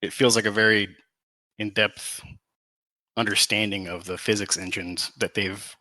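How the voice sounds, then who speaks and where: A man's voice: fairly low pitch, steady tone, measured pace, bright, forceful tone, no echo, poor audio. A man of about thirty, a podcast guest, talking casually in a modest, hesitant way. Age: 30s. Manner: modest, hesitant, many restarts. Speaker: guest gamer. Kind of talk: casual chat.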